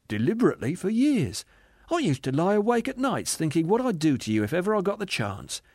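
A male narrator takes on a happy young spirit in an audiobook. He is forgiving, amused and softly coaxing. The pitch sits raised and very mobile, in a dry, close sound. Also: slightly rough, dry recording, exaggerated voice. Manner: gentle, amused, lightly coaxing. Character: joyful, forgiving young male heavenly spirit, once a murderer. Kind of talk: dramatised audiobook reading.

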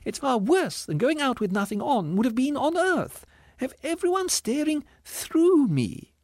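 Man putting on a shrill, squealing female ghost. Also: big pitch swings, measured pace, no room sound, studio quality, exaggerated voice. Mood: anguished. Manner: whining, indignant, self-conscious. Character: panicky, vain female ghost in shabby finery. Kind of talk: audiobook character voice.

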